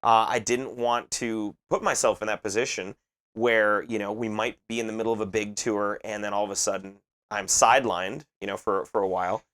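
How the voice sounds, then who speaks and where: A man's voice: lowish voice, fairly even intonation, a little fast, fairly bright tone. A man in his late thirties, a metal band's lead singer, answering interview questions earnestly and often repeating words as he thinks aloud. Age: 30s.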